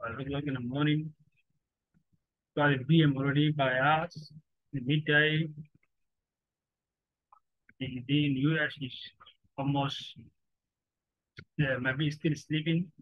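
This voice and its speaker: Man teaching, halting. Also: fairly high pitch, no room sound. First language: Somali. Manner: halting, repeats phrases, broken English. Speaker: forex trading teacher. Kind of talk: informal teaching monologue. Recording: dry, slightly dull lo-fi recording.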